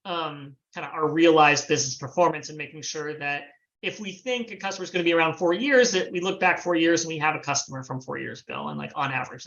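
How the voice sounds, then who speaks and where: A man, a former finance planning head, explaining a business model on a video call in a measured way with frequent fillers. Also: fairly high pitch, pushed and bright, muffled recording.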